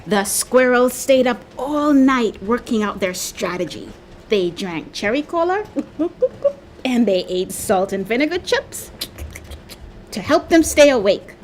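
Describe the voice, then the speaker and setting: A woman reading a children's picture book aloud with expressive, animated storytelling. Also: on the high side, takes it slowly, slight background noise, rough recording. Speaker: storybook reader.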